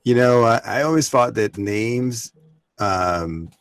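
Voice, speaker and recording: A man's voice: mid-range voice, takes it slowly, clean tone, dry recording. A man hosting an interview, asking questions in a hesitant, rambling way with many "you know"s. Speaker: interview host. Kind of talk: casual interview chat.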